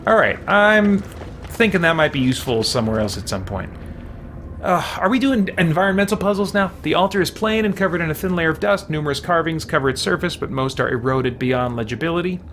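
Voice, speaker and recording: A man's voice: a little high, animated, brisk, a bit bright, a little hiss or hum. A man livestreaming a game in a casual way, musing aloud as he chats and reads game text. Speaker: game streamer.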